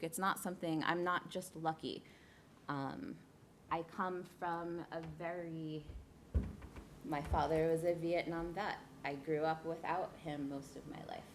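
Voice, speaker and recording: A woman in her thirties from New Jersey, telling her life story to a leadership workshop in an earnest way, with pauses and fillers. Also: on the low side, slight background noise. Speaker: guest speaker. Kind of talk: personal storytelling talk. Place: event room.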